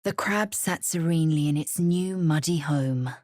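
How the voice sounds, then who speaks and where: A woman playing a fierce, proud githyanki warrior in a video game, with a husky, raspy voice and a clipped, haughty, formal manner. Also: sits low, moderate pace, darkish tone, no echo, studio quality. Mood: solemn, brooding. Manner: clipped, haughty, imperious, formal archaic phrasing.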